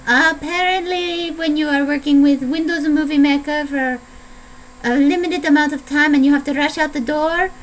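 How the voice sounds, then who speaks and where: A woman's voice: high voice, fairly even intonation, normal speed, a little hiss or hum, low-quality recording. A young adult woman vlogging in a put-on Italian accent, rambling playfully with a self-deprecating manner. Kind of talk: casual rambling video diary.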